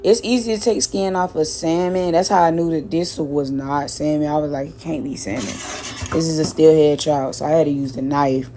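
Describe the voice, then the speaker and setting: A woman's voice: low-pitched, steady tone, normal speed, dark tone, a little hiss or hum. A young woman from Miami chatting casually and slangily while she prepares fish in her home kitchen. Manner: chatty, slangy, repeats words, talks while cooking. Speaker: cooking vlogger. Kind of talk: casual cooking vlog chat.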